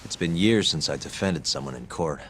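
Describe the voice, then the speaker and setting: A man's voice: extremely low pitch, animated, a bit bright, a little hiss or hum. An actor playing a cool, wry male private investigator in an English video game dub, dry and sardonic. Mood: calm, probing. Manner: dry, sardonic, unhurried questioning.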